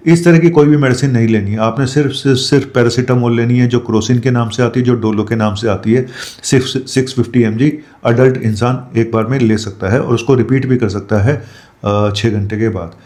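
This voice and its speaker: Mellow-voiced doctor explaining health tips. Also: male voice, medium pitch. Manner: explanatory, addresses viewers directly with frequent fillers. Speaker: doctor giving health advice. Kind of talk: direct-to-camera health talk.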